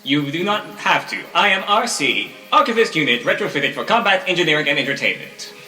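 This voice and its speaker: Man voicing a bright, formal robot archivist. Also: fairly high pitch, animated, fairly quick, roomy sound, recorded from a distance, soft background music. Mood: formal, polite. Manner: formal, precise, clipped. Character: polite, literal robot archivist retrofitted for combat.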